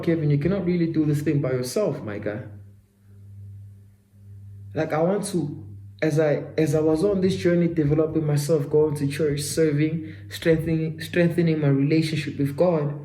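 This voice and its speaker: A man's voice: on the high side, dark tone, roomy sound. A man in his twenties giving a faith-driven motivational talk, repeating phrases and calling the listener "my guy.". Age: 20s. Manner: preachy, repetitive, addresses himself and listener as "my guy". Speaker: self-development vlogger. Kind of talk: motivational monologue.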